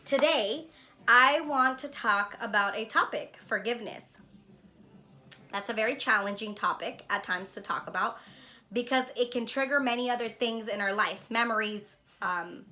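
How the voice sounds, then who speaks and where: A woman's voice: mid-range voice, bright, forceful tone. A woman talks casually and earnestly to her viewers, reflective and often checking in with a "right?". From born in Honduras, living in Los Angeles. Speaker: spiritual vlogger and card reader. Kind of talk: casual heartfelt talk to viewers.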